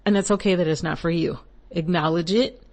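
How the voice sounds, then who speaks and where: A woman's voice: on the low side, brisk, darkish tone. A young millennial woman hosting a podcast, giving casual spiritual advice in a chatty, rambling way with frequent asides and laughs. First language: English. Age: young adult. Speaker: podcast host.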